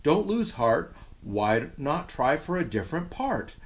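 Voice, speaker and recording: A man's voice: on the high side, sing-song, unhurried. An older man voicing a kindly, consoling animal friend in a children's storybook, soothing and encouraging.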